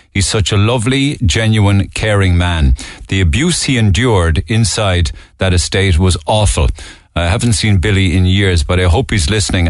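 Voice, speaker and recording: An Irish man hosting a talk radio show, reading listener texts and taking calls in a measured, earnest way. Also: low voice, no room sound, clean studio sound. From Ireland. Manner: measured, earnest, with frequent fillers. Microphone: studio microphone.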